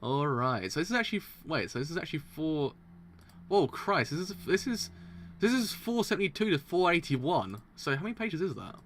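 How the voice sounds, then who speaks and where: A man streaming a live read-through of comics, stumbling over words, restarting lines, and breaking into excited laughter, his throat sounding strained. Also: on the high side, brisk, a little hiss or hum. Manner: excitable, stumbles and restarts while reading aloud, bursts into laughter. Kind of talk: live reading and reaction.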